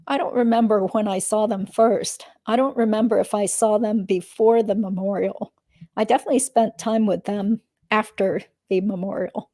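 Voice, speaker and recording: A woman's voice: mid-range voice, fairly even intonation, moderate pace, warm tone, no echo, close to an everyday voice. A woman reading the part of a guarded witness testifying in a legal deposition. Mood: guarded, matter-of-fact.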